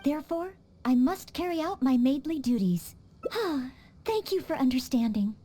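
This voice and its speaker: A woman's voice: high voice, lively intonation, a little slow. A woman voicing an earnest, dutiful young maid who trains as a knight in a video game, speaking politely and formally. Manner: polite, formal and eager to help. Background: soft game music.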